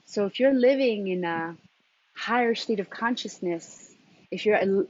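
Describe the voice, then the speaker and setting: A woman speaking as a spiritual coach in a motivational talk, deliberately, in short phrases with emphatic repetition and a husky voice. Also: medium pitch, a little mellow. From New York, of Colombian background. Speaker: transformative coach and intuitive guide. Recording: dull, lo-fi sound.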